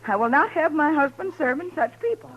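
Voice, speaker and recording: A shrill, haughty prim wife. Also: female voice, lively intonation, fairly quick. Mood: indignant. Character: prim indignant respectable wife, middle-aged. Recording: dull 1950s radio broadcast.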